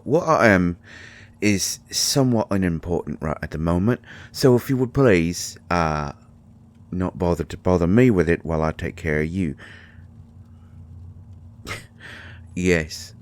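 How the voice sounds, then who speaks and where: A man's voice: low voice, a little mellow. A man playing a grumpy, secretive fairy healer in an intimate roleplay audio, hesitant and exasperated with wry sarcasm. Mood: evasive, weary.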